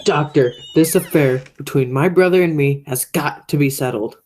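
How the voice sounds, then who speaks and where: A woman's voice: deep voice, moderate pace, a little mellow, slight background noise, close to an everyday voice. A young woman playing a menacing, stubborn male criminal in an amateur radio play. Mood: commanding, stubborn. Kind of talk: radio play acting, high school theatre.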